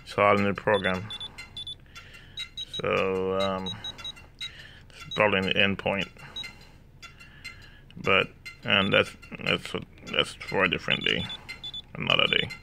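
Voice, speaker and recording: Slow, gravelly Jamaican man. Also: low-pitched, fairly even intonation, noisy background. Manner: hesitant, many fillers and restarts. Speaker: hobbyist demonstrating drone software. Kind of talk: casual screen walkthrough. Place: indoors, room with a laptop.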